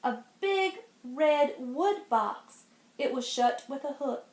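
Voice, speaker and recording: A woman reading a children's storybook aloud in an expressive style. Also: high-pitched, slow. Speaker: storybook reader. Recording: roomy echo.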